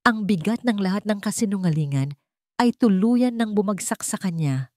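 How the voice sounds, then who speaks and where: A woman's voice: mid-range voice, animated, normal speed, a little mellow, no room sound, clean studio sound. A woman narrating a scripted romantic drama in a dramatic storytelling style.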